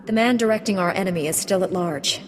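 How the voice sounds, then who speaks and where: An actress playing a composed, dutiful Jedi commander in a video game, speaking in measured, terse, resolute lines. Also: female voice, medium pitch, soft background music, lightly acted. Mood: calm resolve. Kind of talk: video game cutscene dialogue.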